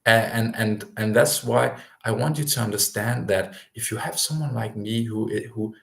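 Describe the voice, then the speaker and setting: A man's voice: on the low side, vocal fry, echoey room. A man coaching language learners in a livestream, talking with rambling enthusiasm and lots of fillers. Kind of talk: livestream talk to viewers.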